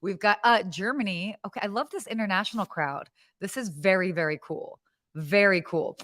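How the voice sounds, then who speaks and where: This is a woman in her thirties from the United States, co-hosting a live show. She chats in a bubbly, upbeat way, full of fillers and quick restarts. Her voice sits in a middle range and sounds dry and close, with no room around it. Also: mid-range voice, fairly bright tone, no room sound. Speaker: podcast co-host. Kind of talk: casual live-show chat.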